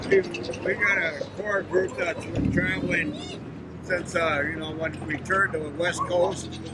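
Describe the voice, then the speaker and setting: A man speaking slowly and haltingly at an outdoor gathering, with many pauses and fillers. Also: sits high, steady tone, heavy background noise. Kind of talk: informal speech at a gathering.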